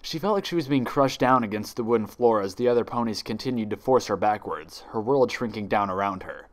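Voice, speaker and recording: A man's voice: lowish voice, a little fast. A man reading a fan fiction story aloud as a dramatic scripted narrator.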